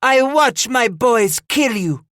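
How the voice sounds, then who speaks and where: A man voicing a shrill, defiant aging French matriarch in an audiobook, shrieking curses in broken English. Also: expressive, slow, a bit bright, no echo, studio quality, heavily acted.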